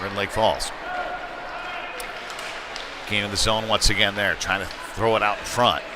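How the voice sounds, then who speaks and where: A man's voice: fairly low pitch, fairly even intonation, unhurried, a crowd in the background. A man doing live play-by-play of youth hockey in a casual, folksy, slightly hesitant way. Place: ice rink, live stream broadcast. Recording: clear rink noise behind the voice.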